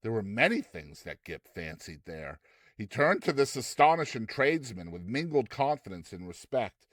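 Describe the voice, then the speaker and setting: A man narrating a story in an expressive, dramatized reading style. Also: on the low side, normal speed, no room sound, clean studio sound.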